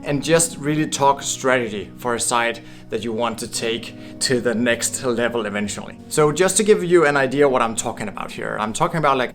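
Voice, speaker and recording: A man from Denmark giving a casual, upbeat explainer to camera, chatty and chuckling now and then. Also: mid-range voice. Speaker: blogging course creator.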